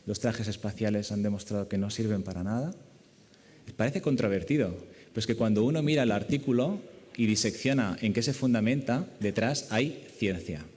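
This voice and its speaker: Male surgeon from Spain, conference speaker, lowish relaxed voice, lecture-hall echo. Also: normal speed. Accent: Spanish from Spain. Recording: echoey lecture hall, long reverb.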